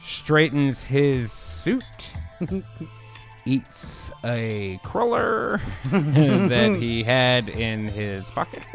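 A man's voice: mid-range voice, sing-song, drawn-out words, creaky, faint music behind. A man hosting an improv show, chatting casually and reading out script as narrator. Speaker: host and narrator. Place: indoor home streaming setup.

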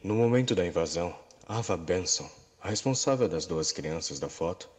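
A man's voice: low-pitched, lively intonation, moderate pace. A man reading a scripted horror story as a video narrator. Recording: long reverb on the voice.

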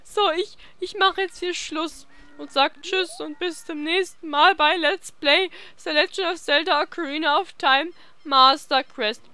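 Young female let's play host, high-pitched, unhurried, playful and teasing. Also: pushed and bright. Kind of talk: casual gaming commentary. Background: video game music.

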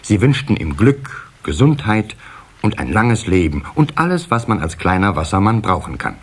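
Husky low-voiced storyteller man, very lively delivery. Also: normal speed. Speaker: narrator. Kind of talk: scripted storybook narration for a children's audio play.

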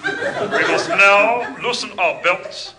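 An actor playing a pompous Prime Minister giving a solemn address in a radio comedy. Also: male voice, sits high, animated, takes it slowly, a crowd in the background. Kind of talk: political speech in radio comedy.

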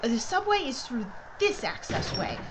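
Woman acting a high, lively, secretive company executive. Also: moderate pace. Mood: brisk, explanatory. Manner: precise, formal, explanatory. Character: brisk, secretive female executive of a mining firm. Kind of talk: audio drama acting. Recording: clear background noise and ambience.

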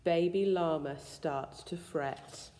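A woman reads a rhyming children's picture book aloud in an expressive, sing-song storytelling way. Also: sits low, slow pace, a little hiss or hum. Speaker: school staff member reading a bedtime story. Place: school room with suspended ceiling, indoors. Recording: echoey indoor room with long reverb.

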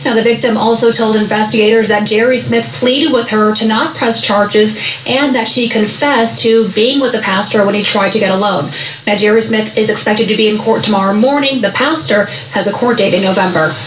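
A woman TV news reporter delivering a live report outdoors at night into a handheld mic, in a measured news delivery. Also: medium pitch, steady tone, a bit bright, echoey room, a little hiss or hum, rough recording. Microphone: handheld microphone.

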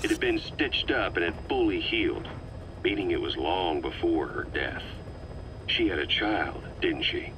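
A cold, menacing game interrogator with a very deep voice. He is deliberate, mocking and accusatory, swinging from pointed stresses down to breathy near-whispers. A clear vehicle rumble runs behind him. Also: lively intonation. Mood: probing. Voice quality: hushed, often dropping to a breathy near-whisper. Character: cold, sardonic, menacing male military interrogator. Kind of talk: dramatic video game voice acting.

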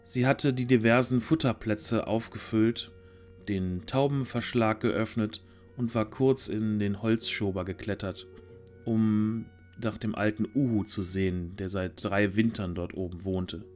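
A man narrating a scripted fantasy audio drama in a measured storytelling manner. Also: on the low side, steady tone, darkish tone, soft background music.

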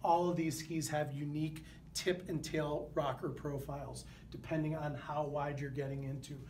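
A man in his thirties, a product manager, presenting gear to the camera in an indoor showroom, steady and informative with a few fillers. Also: fairly high pitch, normal speed, slight background noise. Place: indoor showroom with wooden walls.

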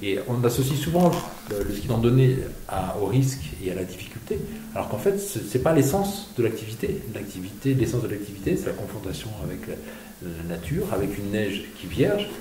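A man's voice: on the low side, sing-song, a little fast, noisy background. A man, a journalist and co-author, speaking at a panel evening, stammering and asking questions aloud. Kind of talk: panel talk at a book evening. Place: small room in a bookshop. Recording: echoey room.

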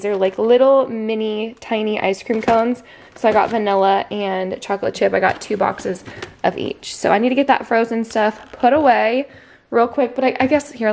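A woman's voice: medium pitch, gravelly. A woman in her thirties chatting casually through a grocery haul in her home kitchen, filmed on a handheld vlog camera. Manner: chatty, upbeat, lots of fillers. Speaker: vlogger.

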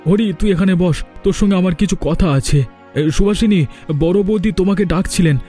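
A man's voice: sits high, moderate pace, mellow, soft background music, close to an everyday voice. A man playing a stern, emotional village family man in a cartoon, with a dramatic manner and a Bengali accent. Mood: urgent, commanding.

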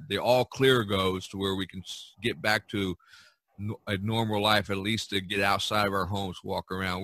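A man hosting a casual podcast discussion, talking in a rambling, halting way with repeated phrases. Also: fairly low pitch, bright, forceful tone, no room sound. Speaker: podcast host and artist.

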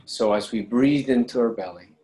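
A man leading a guided meditation, giving calm, unhurried instructions with long pauses between phrases. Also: medium pitch. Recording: clear steady background noise.

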